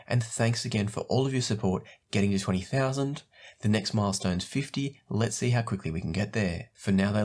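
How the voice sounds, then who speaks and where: A man, stuffy and croaky from a sinus infection, giving a friendly, chatty review of audio gear as the channel's host. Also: fairly low pitch. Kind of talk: casual product review talk to camera.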